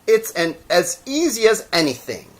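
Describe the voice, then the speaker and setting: A cocky young male rabbit from a storybook, acted out by a man. He taunts in high, loud exclamations and swoops with teasing scorn. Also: very animated, normal speed. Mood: cheerfully goading.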